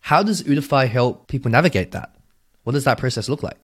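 A man's voice: medium pitch, lively intonation, fairly quick, husky. A man hosting a podcast interview, introducing his guest and asking upbeat, friendly questions. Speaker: podcast host.

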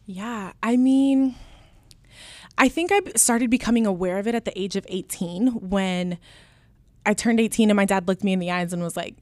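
A woman's voice: medium pitch, normal speed, no room sound. A woman in her twenties from Alaska, first language Spanish, telling her story as an interview guest in an earnest way with many fillers and restarts. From Alaska, USA. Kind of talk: reflective personal storytelling.

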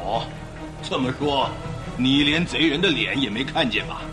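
Actor as mid-pitched ship's captain over music. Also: male voice, expressive, moderate pace, lightly acted. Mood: questioning, startled. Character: ship's captain questioning, then startled. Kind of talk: radio drama acting.

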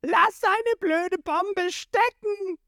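A narrator voicing a brash, excitable young male pirate hero who shouts and curses in a storybook retelling. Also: very high voice, very slow, dry recording, studio quality, pitched above the performer's own voice, heavily acted. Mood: angry. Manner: shouting, frantic.

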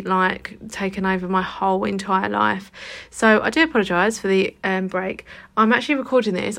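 Croaky woman, thirties, flat delivery, casual podcast host. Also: medium pitch. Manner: chatty, informal, swears casually. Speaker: podcast host and hypnobirthing teacher. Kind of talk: casual solo podcast talk.

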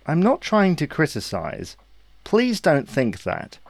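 An actor playing a patient, reasonable husband in a domestic audio drama, with a gentle, reassuring, persuasive manner. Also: male voice, on the high side, huge pitch swings, slow pace, vocal fry, no room sound, heavily acted. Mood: gently persuading. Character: Harry, patient, reasonable husband and new father, coaxing.

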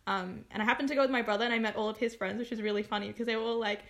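Young Australian woman, fairly high voice. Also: very fast. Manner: laughs often, relaxed and open. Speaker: podcast co-host. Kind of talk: casual chat between friends.

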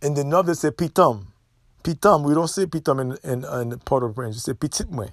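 A man's voice: on the high side, brisk, no echo. Man, solo podcast host, emphatic and repetitive, dry close sound. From Haiti. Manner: opinionated, emphatic, repeats himself.

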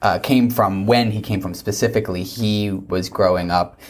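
Low-voiced young man speaking academically. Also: fairly even intonation. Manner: measured, academic. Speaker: graduate student panelist. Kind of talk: panel discussion.

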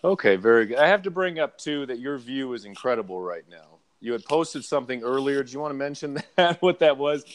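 A man's voice: mid-range voice, expressive, brisk, hardly any pauses, no room sound. Chatty enthusiastic male podcast host. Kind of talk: casual interview chat. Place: home in the mountains.

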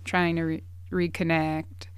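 A woman talking in a relaxed, reflective way, with lots of fillers. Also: deep voice, measured pace, clean tone, no room sound. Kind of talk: casual conversation.

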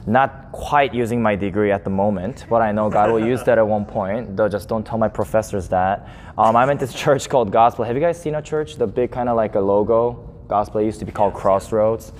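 A man's voice: fairly low pitch, fairly quick, darkish tone. A man in his twenties, raised in Japan after birth in South Korea, giving a chatty, self-deprecating introduction as a guest preacher. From born in South Korea, grew up in Japan. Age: 20s. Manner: friendly, self-deprecating, laughs often, many fillers. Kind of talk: casual, chatty sermon introduction. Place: church sanctuary. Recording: long church reverb, faint noise.

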